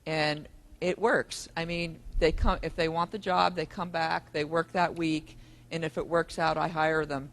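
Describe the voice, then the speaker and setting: Audience man, a little higher pitched, halting and rambling. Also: level tone, creaky, fairly bright tone. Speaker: audience member. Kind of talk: sharing experience from the audience. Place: conference room.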